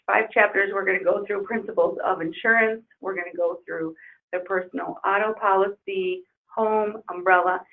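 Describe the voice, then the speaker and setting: A woman trainer losing her voice, leading an upbeat software webinar walkthrough. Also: fairly low pitch, vocal fry. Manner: upbeat, enthusiastic promoting. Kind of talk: webinar screen-share walkthrough. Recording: tinny phone-like webinar audio.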